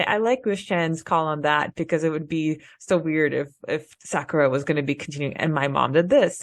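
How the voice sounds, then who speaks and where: A woman co-hosting a podcast, chatting casually as a fan in an informal, halting way. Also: deep voice, no echo, clean studio sound. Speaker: podcast co-host.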